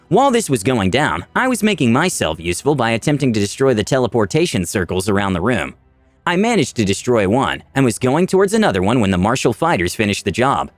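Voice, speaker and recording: A man's voice: medium pitch, dry recording. A man narrating a scripted first-person fantasy adventure story with dramatic delivery. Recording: background music under dry voice.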